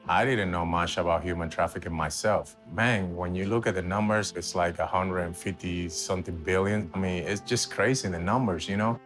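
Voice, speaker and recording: A man's voice: low-pitched, normal speed, few pauses, fairly bright tone, soft background music. A man speaking casually and emphatically in an interview. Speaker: interviewee, father.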